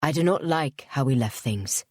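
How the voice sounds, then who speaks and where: A woman voicing a pompous, obsessive gentleman suitor in a storybook reading, speaking in a haughty, clipped way. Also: mid-range voice, expressive, moderate pace, no room sound, studio quality, pitched below the performer's own voice, natural voice. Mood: stiff, condescending.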